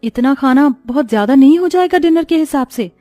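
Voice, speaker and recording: Woman as a meek, high-voiced young stepdaughter. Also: expressive, brisk, warm tone, no echo, studio quality, soft background music. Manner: pleading, timid. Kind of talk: melodramatic storybook acting.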